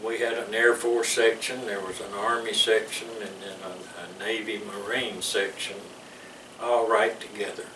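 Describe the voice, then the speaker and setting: A man's voice: lowish voice, flat delivery, normal speed. Older Southern man, folksy rambling. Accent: Southern American. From American South. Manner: rambling, folksy, chuckles between stories. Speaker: veteran interviewee. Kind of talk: recalling memories in an interview. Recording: echoey room, mic far off, clear background noise.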